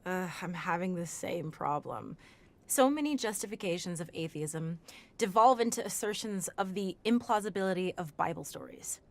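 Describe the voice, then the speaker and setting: A woman's voice: lowish voice, animated, moderate pace, gravelly. A woman playing a thoughtful, exasperated researcher, articulate and opinionated, in a conversational audio drama. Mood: critical.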